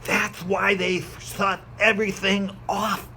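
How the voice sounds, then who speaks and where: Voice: mid-range voice, little pitch movement, slow, sharp tone, slight background noise. A performer playing a serious, earnest fourteen-year-old boy in an amateur read-aloud of a television script. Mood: grave, insistent.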